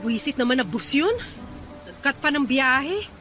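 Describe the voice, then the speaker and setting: Irritated young woman, radio drama, extremely high pitch, bright tense tone, exasperated complaining, faint music behind. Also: very animated, measured pace. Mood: annoyed. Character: irritated, tired young woman stranded late at night. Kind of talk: Filipino radio drama acting.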